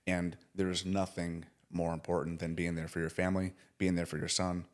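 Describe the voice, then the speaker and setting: A man's voice: low-pitched, flat delivery, moderate pace. A man in his forties hosting a podcast interview, talking earnestly in casual slang. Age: 40s. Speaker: podcast host.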